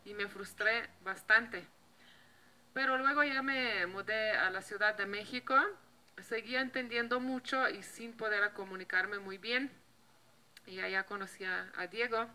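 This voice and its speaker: A woman's voice: medium pitch, a little slow, bright, forceful tone, rough recording. A woman in her thirties, a native Slovene speaker with a Slovenian accent, chatting casually to camera outdoors with a handheld mic, restarting words and laughing lightly. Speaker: vlogger. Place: outdoor patio by a block wall.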